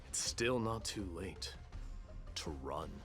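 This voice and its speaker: A deep, low voice a stern and proud warrior, speaking slowly and curtly. Every word sounds grave and measured. Game music plays behind him. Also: male voice, lively intonation. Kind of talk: video game voice acting.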